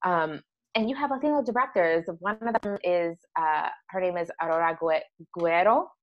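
Lower-voiced woman podcast guest. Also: normal speed, muffled recording. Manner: thoughtful, hesitant, many fillers. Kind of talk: casual conversational interview.

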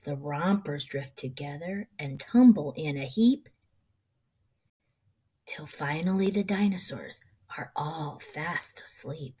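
A middle-aged woman reading a children's picture book aloud in an expressive, rhythmic, sing-song way. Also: sits low, slow pace, husky.

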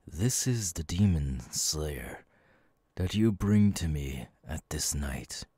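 A man voicing a menacing, imperious demon lord, cold and cruel, with a husky, breathy voice, in an ASMR audio roleplay. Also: rumbling low, lively intonation, dark tone, no echo, full character voice. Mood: cold, haughty. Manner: slow, deliberate, commanding, threatening.

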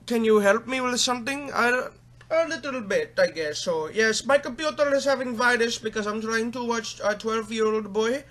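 A man's voice: very high-pitched, moderate pace, a bit bright. A young man putting on an Indian accent as a pestering, needy tech support caller in a prank call. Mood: hesitant. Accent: put-on Indian. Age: young adult. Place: small home bedroom, indoors.

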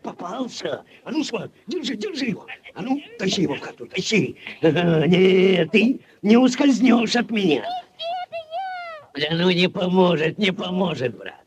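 A bossy middle-aged village headman in an old radio play. His voice is fairly high and swings widely in pitch, with blustering exclamations. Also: very animated, measured pace, crowd noise. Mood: excited, bossy. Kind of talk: 1940s Soviet radio acting.

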